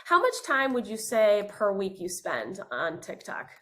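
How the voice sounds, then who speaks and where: Woman host, mid-pitched voice, warmly encouraging interviewer. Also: wildly swooping pitch, unhurried. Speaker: podcast host. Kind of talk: interview questions.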